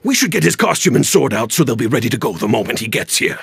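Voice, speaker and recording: An actor playing a busy, matter-of-fact stagehand in a video game cutscene. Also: male voice, medium pitch, big pitch swings, fast talker, vocal fry, fairly bright tone, slightly rough, dry recording, soft background music, exaggerated voice.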